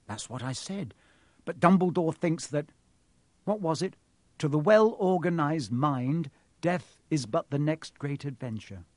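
A man's voice: fairly high pitch, animated, unhurried, a little mellow, no room sound, pitched above the performer's own voice. A man voicing an earnest, curious schoolboy wizard in a storybook, thoughtful and questioning in his manner.

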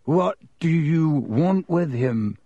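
Man playing a pompous, suspicious schoolboy, slow and deliberate. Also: a little high, sing-song, breathy edge, no echo, muffled recording, heavily acted. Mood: fearful, stubborn. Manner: hushed, mysterious to quavering and stubborn. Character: pompous, suspicious, fearful schoolboy spreading dark rumours.

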